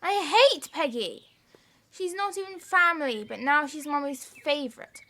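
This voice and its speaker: A woman's voice: high voice, animated, unhurried, a bit bright, full character voice. A young female actor playing a sulky, lonely girl in an audio drama, plaintive and childlike. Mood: sulky, hurt. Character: sulky, lonely young English girl missing her father.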